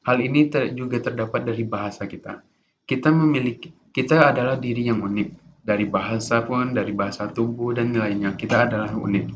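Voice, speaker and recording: A young man giving an informal class presentation as a student, halting often with restarts and fillers. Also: medium pitch, steady tone. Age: young adult. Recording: dull lo-fi recording with faint noise.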